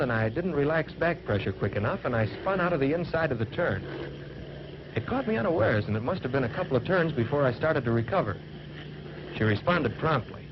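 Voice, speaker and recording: A man's voice: medium pitch, lightly acted. A man playing a young American fighter pilot, narrating a 1940s military training film in a plain-spoken, folksy first-person way. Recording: dull, very noisy 1940s film soundtrack.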